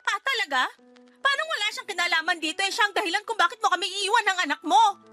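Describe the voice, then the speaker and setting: Babaeng gumaganap bilang desperadang inang iniiwan, napakataas ang boses. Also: female voice, very high-pitched, fast talker, bright, forceful tone, soft background music. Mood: shocked, confronting. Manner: pleading, emotional outbursts. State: crying. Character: desperate, furious woman abandoned with her young child by her partner. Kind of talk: Tagalog radio drama acting.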